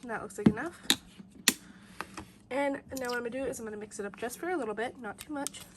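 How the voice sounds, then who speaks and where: A bubbly young woman with a congested, stuffy head-cold voice talks quickly through a home tutorial. Her voice sits fairly high. A loud air conditioner hums behind her in a bedroom. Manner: bubbly, upbeat, repeats "you guys". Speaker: toy and slime channel host. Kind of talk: casual tutorial vlog. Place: bedroom, indoors. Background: air conditioner noise.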